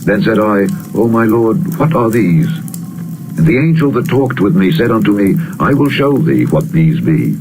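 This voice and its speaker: A man reads scripture as a solemn narrator, in a measured, formal and declamatory way. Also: lowish voice. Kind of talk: solemn scripted audiobook reading of the Bible. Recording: steady loud crackling fire and rain noise. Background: crackling fire and soft rain.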